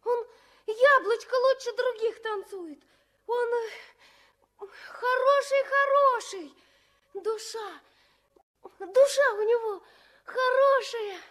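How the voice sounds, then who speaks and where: Excitable little girl, vintage radio play; extremely high voice, slow halting phrases. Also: exaggerated voice. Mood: earnest, pleading. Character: eager, naive little girl among sailors. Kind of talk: 1960s radio drama acting.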